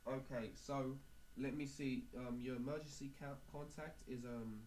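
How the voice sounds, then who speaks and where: A man playing a calm male clinic doctor on a prank call, speaking politely and haltingly in an official, clinical tone. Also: mid-range voice, lightly acted. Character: calm male clinic doctor giving awkward bad news. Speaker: prank caller. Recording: noisy amateur phone-call recording.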